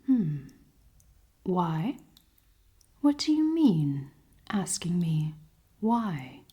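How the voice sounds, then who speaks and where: Woman playing a slow, breathy, haughty elven queen. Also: lowish voice, big pitch swings, full character voice. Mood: puzzled, dry. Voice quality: soft, breathy, often whispering. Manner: slow, formal, commanding, teasing. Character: cold-hearted, dominant elven queen, haughty, secretly caring. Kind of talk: ASMR fantasy roleplay.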